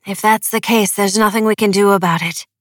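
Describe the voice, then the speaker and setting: A woman's voice: medium pitch, fairly even intonation, brisk, no echo, natural voice. A woman voicing a sensible, outspoken young daughter in an audiobook, firm and matter-of-fact.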